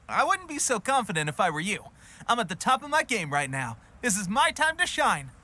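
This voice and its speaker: Eager, high-voiced young male soldier, very animated. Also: moderate pace, slight background noise, heavily acted. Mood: cocky. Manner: boastful, enthusiastic, jaunty. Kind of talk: animated video game voice acting. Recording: music behind the voice.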